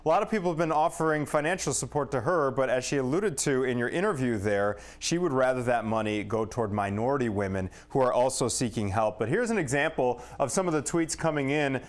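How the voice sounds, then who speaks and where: Man reporting live news, medium pitch. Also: expressive, a little fast, few pauses. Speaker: news correspondent.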